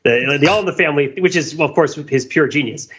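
A man's voice: a little high, quick pace, a bit bright, husky, dull audio. A man co-hosting a podcast, talking in a casual, analytical, discursive way and often checking in with a tag question. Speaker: podcast co-host.

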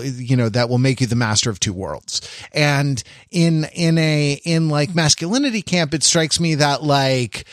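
A man in his forties co-hosting a podcast in casual analytical discussion, speaking in a halting, discursive way and often interrupting himself. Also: mid-range voice, a bit bright, dry recording, clean studio sound. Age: 40s. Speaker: podcast co-host.

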